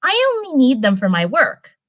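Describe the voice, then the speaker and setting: A woman's voice: sits high, wildly swooping pitch, moderate pace, a bit bright, no room sound, clean studio sound, pitched above the performer's own voice, exaggerated voice. A woman voicing a bookish young female unicorn student, earnest and blunt, in a storybook reading. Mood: defensive.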